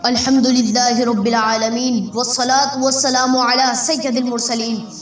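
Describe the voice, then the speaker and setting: A woman's voice: a little high, little pitch movement, normal speed. A woman speaking formally in a declamatory religious sermon with recited openings. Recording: echoey playback with faint music.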